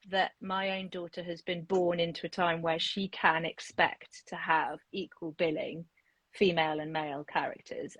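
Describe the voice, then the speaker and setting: A woman in her forties, a picture book author, talking in a relaxed interview with many restarts and fillers. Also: on the low side, breathy edge, no room sound, rough recording. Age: 40s. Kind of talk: casual interview chat.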